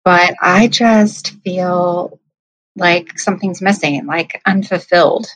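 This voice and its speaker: A woman's voice: lowish voice, slow, no room sound, poor audio. A woman in her thirties, a life coach, telling her story in a reflective, hesitant way with many ums. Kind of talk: casual interview storytelling.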